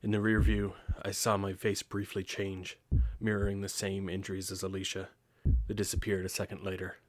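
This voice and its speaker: An actor playing a centuries-old grim reaper, narrating an audio drama in a measured, detached, solemn way. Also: male voice, low voice, completely flat delivery, dry recording, close to an everyday voice. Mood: grave. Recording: music behind the voice. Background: music with guitar.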